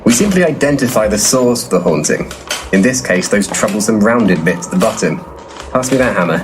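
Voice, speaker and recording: An actor playing a brash, foul-mouthed, money-minded ghost investigator in a comedy audio drama, deadpan and bossy. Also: male voice, lowish voice, fairly quick, over music, natural voice.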